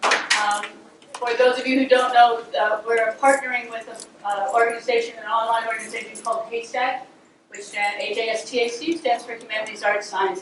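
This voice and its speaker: A middle-aged woman directing a university program, opening a workshop with a casual talk, her voice weak and strained, full of ums and restarts. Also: medium pitch. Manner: conversational, many ums and restarts. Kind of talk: welcoming talk to a live audience. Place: university seminar room. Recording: echoey room, voice from far off, clear noise.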